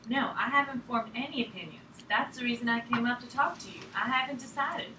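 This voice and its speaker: A woman's voice: a little high, brisk, lightly acted. A woman acting a patient, deferential official who keeps questioning a sick patient in a drama table read. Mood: polite, insistent. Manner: polite, deferential, insistent. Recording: echoey room, distant mic, noisy background.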